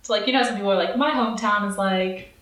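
A young woman co-hosting a casual podcast chat, animated and quick to laugh, acting out voices in her stories. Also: mid-range voice, distant mic. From Germantown, Maryland area, US. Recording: echoey room, voice off-mic.

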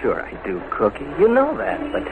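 A man's voice: fairly low pitch, huge pitch swings, moderate pace. A noisy vintage radio recording of a bashful teenage boy. His intonation swings widely as he gives hesitant, reluctant, sheepish replies in 1940s drama style. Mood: sheepish, uneasy.

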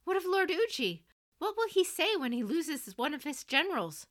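A woman acts out a young noble samurai son in a fantasy audio story. His voice is high and raised, with an earnest, insistent manner and impulsive emotion. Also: big pitch swings, normal speed, a bit bright. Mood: alarmed protest.

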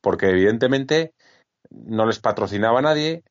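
A man talks in a very low voice, rambling and repeating words as he chats casually. The sound is dry and somewhat dull, lo-fi. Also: expressive, moderate pace, no room sound. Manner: chatty, repeats words, many fillers and restarts. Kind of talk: casual chat.

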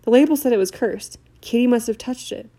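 A brave teenage boy wizard speaks with urgent force in a storybook reading, voiced by a woman. The tone is mellow and low in brightness, roughened by creak. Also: a little fast. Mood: serious. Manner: urgent, raised voice.

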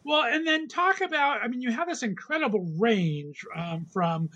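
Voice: medium pitch, animated, moderate pace, a bit bright, muffled recording. A podcast host asking casual interview questions with effusive, enthusiastic praise.

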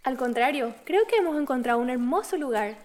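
A woman acts out a mysterious child visitor from the moon for an audio drama. The girl's voice is high, gentle and playful, with an otherworldly innocence. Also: expressive, fairly quick. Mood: soft, gentle.

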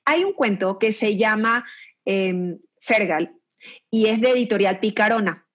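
A woman's voice: medium pitch, animated, a little slow, lo-fi sound. A Mexican woman talking casually about children's books, chatty, with frequent restarts and laughs. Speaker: guest recommending children's books. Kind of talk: casual chat.

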